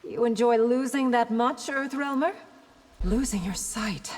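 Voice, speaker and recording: An actress playing a proud, haughty warrior princess in a fantasy video game, husky, cool and challenging. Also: female voice, fairly high pitch, measured pace, darkish tone. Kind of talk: video game fight banter. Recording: reverberant game hall sound.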